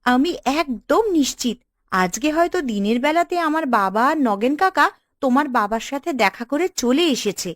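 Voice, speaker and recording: Woman playing a lively, loyal village girl. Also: high voice, moderate pace, dry recording, clean studio sound. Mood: reassuring, confident. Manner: reassuring, decisive. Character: supportive, confident young village woman, loyal friend. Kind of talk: Bengali cartoon dialogue.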